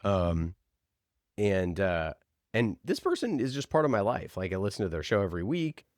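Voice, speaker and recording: Low-voiced guy explaining stuff casually, kinda halting, thinking out loud, really dry close sound. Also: sing-song, dry recording. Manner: informal, halting, thinks aloud with examples. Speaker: interview guest, podcast producer. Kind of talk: conversational explaining.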